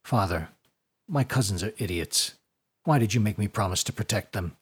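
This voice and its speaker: A man voicing a gruff, stubborn fisherman of few words in an audiobook, curt and low-key. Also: lively intonation, moderate pace, a little mellow. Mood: subdued.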